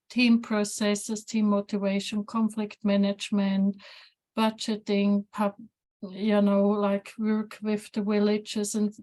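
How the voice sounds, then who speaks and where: A woman's voice: mid-range voice, a little mellow. A woman in her forties from Switzerland with a Swiss accent, earnestly describing her therapy work on a video call, searching for words. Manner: earnest, searching for words, slightly non-native phrasing. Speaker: guest therapist. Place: home room with shelves, indoors. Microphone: laptop webcam on video call.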